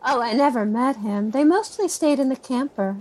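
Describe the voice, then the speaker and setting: A woman's voice: high-pitched, animated, moderate pace, a little mellow, poor audio. An actress playing a candid, motherly woman, the niece of an author, chatting naturally in an audio drama interview. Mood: candid, reflective. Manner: conversational, candid.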